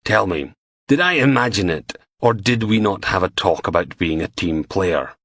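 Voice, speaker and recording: A man voicing a smug, sardonic senior police chief who talks in a measured way. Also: low-pitched, lively intonation, fairly bright tone.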